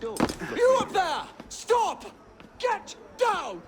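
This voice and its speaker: An actor playing an indignant guard in a video game, shouting and barking commands. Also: male voice, extremely high pitch, very animated, extremely slow, heavy background noise, exaggerated voice. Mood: indignant, commanding. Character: indignant male guard yelling orders. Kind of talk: video game cutscene acting.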